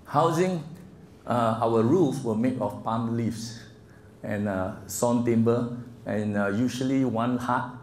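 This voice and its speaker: A Singaporean man in his sixties giving a slide presentation to a group, speaking in a halting, gently humorous way. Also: mid-range voice. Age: 60s. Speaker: guest speaker. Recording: echoey room with faint background noise.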